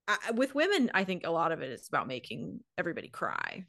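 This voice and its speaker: Lower-pitched American woman telling stories on a podcast. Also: huge pitch swings, fast talker, gravelly, fairly bright tone, dry recording, studio quality. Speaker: podcast co-host. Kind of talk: casual anecdotal podcast chat.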